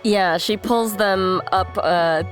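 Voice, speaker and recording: A woman's voice: mid-range voice, slow pace, soft background music. A woman playing a tabletop game, describing her character's actions thoughtfully with some hesitation. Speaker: player.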